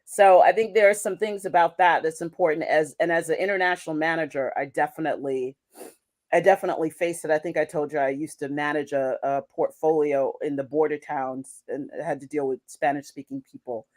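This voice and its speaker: Woman, kinda low voice, guest on an interview, thinks out loud and restarts a lot. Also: steady tone, brisk. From United States. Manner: reflective, restarts sentences, asks rhetorical questions ending in "right?". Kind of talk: conversational interview.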